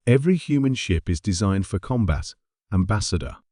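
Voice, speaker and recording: A man's voice: on the low side, animated, brisk, dark tone, no echo, studio quality. A man voicing a confident, dryly amused female warship captain, polite and understated, in a story reading. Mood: assured.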